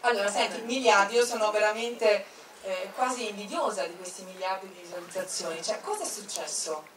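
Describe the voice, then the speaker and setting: A woman's voice: mid-range voice, brisk, background noise. A woman interviewing on a theater stage, bossy and joking. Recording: echoey hall, far-off mic, loud crowd.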